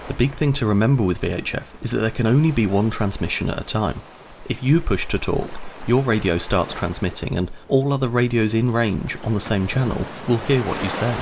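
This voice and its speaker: A man's voice: on the low side, gravelly. A man giving a scripted instructional narration, explaining step by step in a conversational way.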